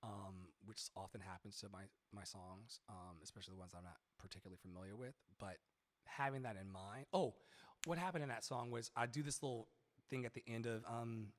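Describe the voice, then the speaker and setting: A man with a boomy baritone-tenor voice teaching singing on a live stream, rambling and chatty, swearing casually between sung examples. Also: brisk. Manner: rambling, chatty, profane, sings snatches mid-talk. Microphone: studio mic with added reverb. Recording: reverb-drenched streaming mic.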